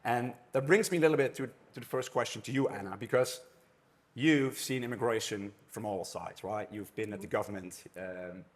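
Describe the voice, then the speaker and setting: A man's voice: on the low side, normal speed. A man with a Dutch accent moderating a live conference panel, chatty and often restarting his phrases. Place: conference room with an audience.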